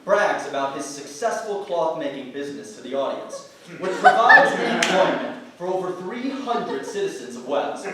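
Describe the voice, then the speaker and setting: A man's voice: fairly high pitch, huge pitch swings, moderate pace, a crowd in the background. A man giving theatrical scripted narration as an actor reading a play synopsis. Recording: noisy, very echoey hall from far off.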